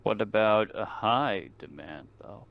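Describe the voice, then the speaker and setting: A man's voice: fairly low pitch, expressive, takes it slowly, gravelly, muffled recording. A man bantering casually as a player in an online tabletop game. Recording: noisy online voice chat.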